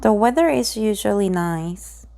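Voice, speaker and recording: A woman reading simple grammar example sentences aloud in a slow, deliberate way, pausing between each one. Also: medium pitch, lively intonation, creaky, mellow.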